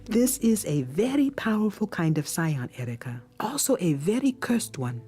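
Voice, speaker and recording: An actress playing a wise, gentle older woman mentor in a video game, with measured, soft, solemn delivery. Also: on the low side, big pitch swings, husky, soft background music. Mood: grave, explanatory. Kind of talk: dramatic video game acting.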